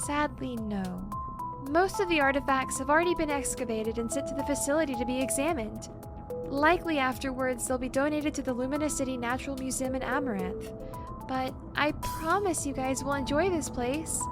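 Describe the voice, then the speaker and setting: A woman's voice: high voice, fairly quick, music in the background, close to an everyday voice. A woman playing a nervous, bookish, overworked young researcher in an audio drama, stammering and using wordy, formal vocabulary. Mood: earnest, explanatory.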